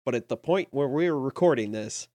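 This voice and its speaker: A man's voice: mid-range voice, lively intonation, moderate pace, a little mellow, dry recording. A man co-hosting a podcast, chatting in a laid-back, wry way. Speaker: podcast co-host. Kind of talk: casual chat.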